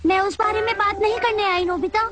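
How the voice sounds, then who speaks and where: A woman's voice: very high voice, steady tone, fairly quick. A woman voicing a sweet, earnest young schoolgirl in a Hindi cartoon dub. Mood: earnest concern. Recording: music under the voice.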